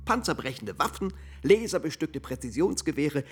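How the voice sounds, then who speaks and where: An actor playing an intense, suspicious informant in a radio thriller, speaking in clipped, emphatic phrases. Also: male voice, on the high side, very animated, moderate pace, husky. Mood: grim, emphatic.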